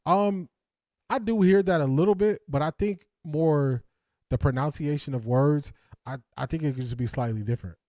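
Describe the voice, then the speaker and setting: Mellow-voiced sports talk host. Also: male voice, a little high, normal speed, no echo, studio quality. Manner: hesitant, many restarts and fillers, laughs. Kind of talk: casual live-stream chat reading comments.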